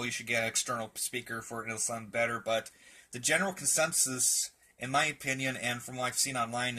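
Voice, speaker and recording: A man's voice: mid-range voice, pushed and bright. A man giving a casual, off-the-cuff video tour of a hobby collection, hesitant and searching for words, recorded indoors on a handheld camera's mic. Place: indoor room with shelves of radios. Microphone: handheld camera mic, behind the camera.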